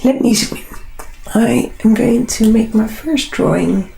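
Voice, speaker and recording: A woman with a Dutch accent and a husky, unwell voice, chatting casually and unhurriedly as she hosts a live drawing tutorial. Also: a little high, measured pace, dark tone, echoey room, poor audio. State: unwell, struggling hoarse voice. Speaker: artist hosting a live drawing session.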